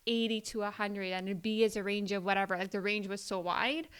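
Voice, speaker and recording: Bright-voiced woman, native Farsi speaker. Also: medium pitch, fairly even intonation, brisk, clear, resonant tone. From Iran. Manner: chatty storytelling, many "like" fillers. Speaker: podcast guest. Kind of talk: casual interview chat.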